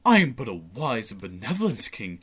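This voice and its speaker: A man's voice: mid-range voice, very animated, a little fast, breathy edge. A teenage actor playing a treacherous middle-aged king in a school radio play, smug, mocking and theatrical. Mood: scheming. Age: teens. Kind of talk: amateur radio play acting.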